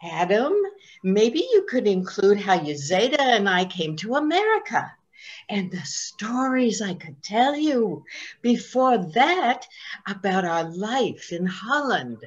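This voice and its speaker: Slow, doting old grandmother, played by a woman. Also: mid-range voice, sing-song, dull audio. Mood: earnest. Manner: affectionate, coaxing, uses Yiddish pet names. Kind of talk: staged reading of a comic play.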